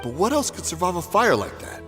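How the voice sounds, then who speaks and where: A man's voice: sits high, big pitch swings, brisk, big-room sound, over music. A man playing a serious, observant companion in a video game. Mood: concerned.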